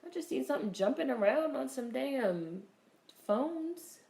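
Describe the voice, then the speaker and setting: A woman's voice: high-pitched, unhurried, gravelly, echoey room. Congested woman chatting on a livestream. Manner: chatty, relaxed, swears casually. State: congested with a cold. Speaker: livestream host. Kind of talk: casual livestream chat greeting viewers.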